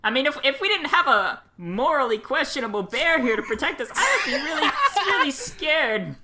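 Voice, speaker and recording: A woman improvising wry, halting in-character comedy banter, with other voices behind her. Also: high voice, sing-song, very noisy. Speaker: player in improvised comedy musical story.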